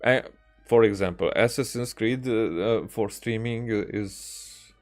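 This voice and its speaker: A man streaming games, chatting casually in a hesitant, halting way as he thinks aloud. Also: lowish voice. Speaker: game streamer. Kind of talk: casual livestream chat. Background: music.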